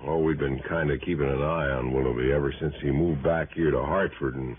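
An actor playing a plain-spoken, folksy police sergeant in a 1960s radio drama. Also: male voice, a bass voice, steady tone, a little fast, breathy edge. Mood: matter-of-fact. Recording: narrow, faintly noisy old radio recording.

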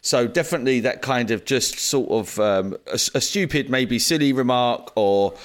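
A man co-hosting a casual podcast, talking things through thoughtfully and pausing to search for words. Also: mid-range voice. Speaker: podcast co-host. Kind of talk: casual chat.

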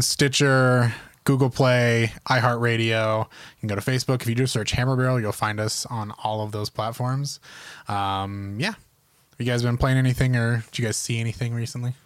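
A man's voice: medium pitch, a bit bright, clean tone, no echo, clean studio sound. A man hosting a podcast in a casual, conversational way. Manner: informal, conversational. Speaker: podcast host.

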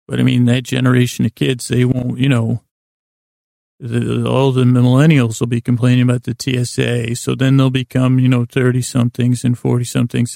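A middle-aged American man talks in a creaky, gravelly voice that stays very flat. He hosts a meandering bedtime podcast, halting, doubling back and gently putting himself down. The recording is dry and close on the microphone. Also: mid-range voice, no room sound. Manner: halting, self-deprecating, trails off and circles back. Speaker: sleep podcast host. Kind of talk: rambling, meandering bedtime monologue.

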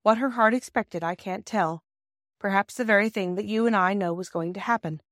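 A woman's voice: mid-range voice, fairly quick, a bit bright, no room sound. Woman narrating a storybook, dry close sound. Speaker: audiobook narrator.

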